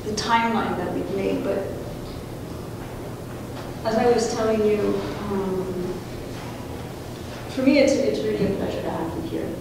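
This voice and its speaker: A woman's voice: mid-range voice, measured pace, pauses often. Woman professor in a very echoey room. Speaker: professor hosting a student discussion. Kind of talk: informal spoken introduction to a guest. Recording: distant, very echoey room, noisy.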